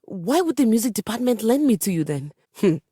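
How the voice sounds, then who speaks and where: A woman's voice: fairly high pitch, very animated, brisk, dark tone, breathy edge, no echo, clean studio sound. A woman voicing a vain, refined piano diva in a video game, speaking in a grandiose, pompous way with precise wording. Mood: grand, self-assured. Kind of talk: theatrical video game character acting.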